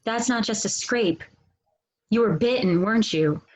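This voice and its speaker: A woman reads a worried, earnest young woman on a team in a dramatic script. The voice sits at a middle pitch, and the pace is slightly slow. The delivery is plain and a little hesitant. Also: natural voice. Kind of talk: dramatic script table read.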